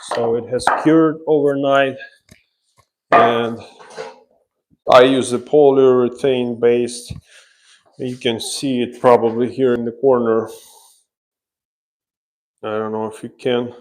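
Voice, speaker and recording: A man with a Ukrainian accent talks at an unhurried pace, his pitch in the middle range. He gives casual, hands-on explanations as a tradesman streaming live, pausing while he works. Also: level tone, darkish tone. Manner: explains while working, pausing as he handles tools. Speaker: drywall installer, live stream host. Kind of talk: casual live work commentary. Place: laundry room under renovation, indoors.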